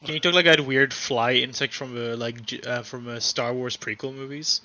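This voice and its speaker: A man's voice: medium pitch, steady tone, normal speed, bright, forceful tone, dull audio. A man playing a tabletop game, joking and throwing out suggestions. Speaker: player.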